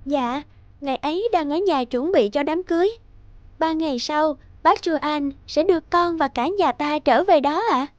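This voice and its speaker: A woman voicing a gentle, dutiful young bride in a Vietnamese cartoon, speaking politely and earnestly. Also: sits high, normal speed, no room sound, dull audio, natural voice. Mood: hesitant, polite. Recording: background music under the voice.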